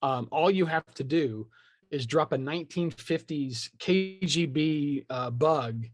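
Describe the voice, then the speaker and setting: A man's voice: fairly high pitch, slow pace, no room sound, faint music behind. Opinionated man rambling in a dry, close recording. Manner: opinionated, rambling, thinks aloud. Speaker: podcast speaker discussing film franchises. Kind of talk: casual chat.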